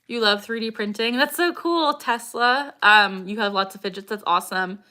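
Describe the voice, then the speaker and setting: A woman's voice: on the high side, normal speed, pushed and bright. A young woman in her twenties hosting a casual livestream Q&A. She reads viewer questions aloud and greets people by name, with frequent vocal tics and bursts of laughter. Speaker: livestream host.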